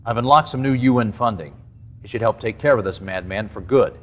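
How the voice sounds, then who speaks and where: An actor playing a brisk, commanding general in his forties, giving a mission briefing in a game. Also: lowish voice, natural voice. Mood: confident, brisk. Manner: crisp, authoritative, direct.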